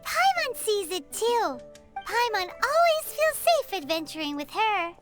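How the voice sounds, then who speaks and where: A woman voicing a tiny childlike fairy companion in a video game, squeaky, exclamatory and indignant. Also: very animated, unhurried, exaggerated voice. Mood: cheerful. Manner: squeaky, refers to self by name, exclamatory. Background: game music.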